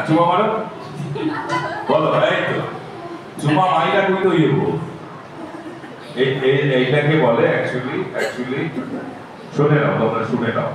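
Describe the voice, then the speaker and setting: A man's voice: on the high side, far from the mic. A man teaching a live workshop, instructive and insistent, often repeating himself. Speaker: recitation workshop trainer. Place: large echoey room at a live workshop. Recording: echoey noisy hall, picked up from afar.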